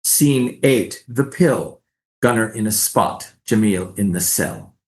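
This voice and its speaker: Narrator reading scene directions for a staged play, medium pitch, scripted delivery. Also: lively intonation, takes it slowly.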